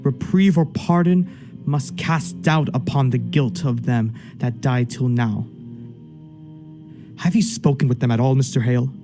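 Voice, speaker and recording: An imperious magistrate of a period radio play, acted by a man, speaks in formal archaic phrases. He is stern and unbending, used to command, with music clearly behind him. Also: medium pitch, unhurried, close to an everyday voice. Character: stern, unbending male judge, imperious and impatient. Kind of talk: period stage drama acting in a radio play.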